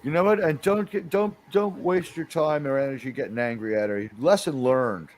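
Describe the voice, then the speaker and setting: A man's voice: a little high, moderate pace. A man hosting a casual livestream chat, given to wry mock-formal pronouncements.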